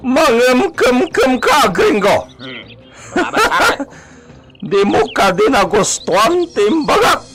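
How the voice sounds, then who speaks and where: An actor playing a mocking, menacing bandit boss in a melodramatic radio drama, with a Filipino accent and a taunting, drawn-out delivery. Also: male voice, very high voice, very animated, over loud music, full character voice.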